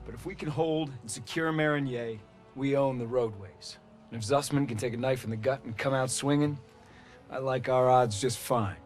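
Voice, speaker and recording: A man acting as an army officer in a war game, steady and encouraging. He speaks in a measured, commanding way to his platoon, with faint music underneath. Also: medium pitch, natural voice. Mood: resolute. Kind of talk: military drama acting in a war game cutscene.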